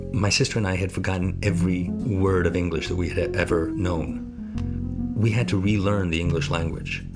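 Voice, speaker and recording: A middle-aged man with a very low voice gives a reflective interview, halting and restarting now and then as he searches for words. Loud plucked guitar music plays behind him throughout. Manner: thoughtful, halting, restarts mid-thought. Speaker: documentary interviewee.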